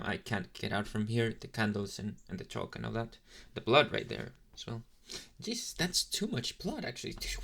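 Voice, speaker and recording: A man's voice: medium pitch, gravelly, a bit bright, heavily acted. Man playing a rambling, apologetic young demon intern. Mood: flustered, casual. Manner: rambling, hesitant, casually chatty with slangy asides. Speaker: ASMR roleplay performer. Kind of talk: ASMR boyfriend roleplay, addressing the listener.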